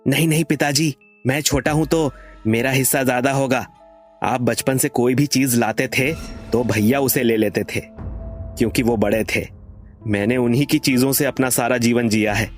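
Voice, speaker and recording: A greedy younger son in a moral tale, acted by a man, has a mid-range voice. He sounds resentful and insistent, with soft music behind him. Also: medium pitch, steady tone, moderate pace, close to an everyday voice. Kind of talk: acted moral story dialogue.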